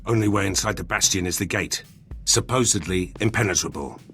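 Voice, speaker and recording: An actor playing a gruff, dry military veteran in a video game, speaking in terse, clipped phrases. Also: male voice, low voice, a little fast, a bit bright, faint music behind. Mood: wry, matter-of-fact. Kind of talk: video game dialogue acting.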